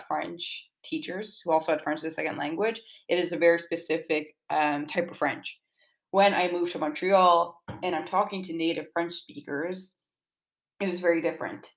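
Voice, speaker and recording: A woman's voice: deep voice, rough recording. A young woman giving informal, explanatory interview answers in a casual, earnest way, often dropping "like" into sentences. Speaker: student poet being interviewed about own poem.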